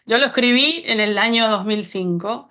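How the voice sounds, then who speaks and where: A woman researcher reflects earnestly on her work over a video call. Her voice is bright and tense and sits a little high. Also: moderate pace.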